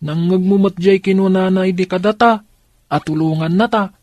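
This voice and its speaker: A woman's voice: mid-range voice, moderate pace, darkish tone, no room sound, close to an everyday voice. A woman acting an earnest young grandchild in a radio drama, with an Ilocano accent. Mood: conspiring.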